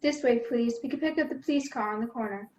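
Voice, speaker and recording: Woman as a high-pitched, official police officer. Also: fast, darkish tone, close to an everyday voice. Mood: brisk, reassuring. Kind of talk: amateur radio play acting. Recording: echoey room over video call.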